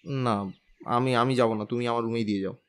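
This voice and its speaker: A man's voice: mid-range voice, fairly even intonation, fairly quick, lightly acted. A man playing a lovestruck, cheeky young husband in an audio drama, stubborn and playful, with a pleading streak. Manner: pleading, playful, whiny with elders. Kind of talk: Bengali audio drama acting.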